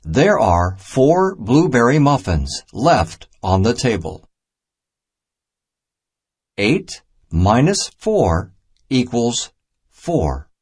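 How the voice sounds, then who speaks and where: A man reading a children's math storybook aloud in a deliberate, simple teaching manner. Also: medium pitch, sing-song, a little mellow, breathy edge. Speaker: narrator of a children's math reader.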